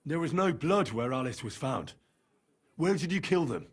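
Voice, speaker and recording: A young investigator in a medieval video game. His voice is rough-edged and a little high for a man. He presses with stern, direct, exasperated questions. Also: lively intonation, normal speed. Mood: stern questioning. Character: earnest young male investigator, stern and probing. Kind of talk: dramatic video game acting.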